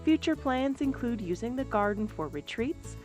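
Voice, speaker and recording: A woman's voice: fairly high pitch, animated, moderate pace, darkish tone, faint music behind. A woman reading a scripted documentary narration as the voice of a program.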